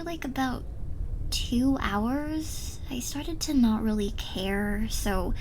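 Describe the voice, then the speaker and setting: A woman's voice: on the high side, darkish tone, a little hiss or hum. A young woman playing a drunk, giddy, smitten rival in an ASMR roleplay, rambling and stammering as she trails off.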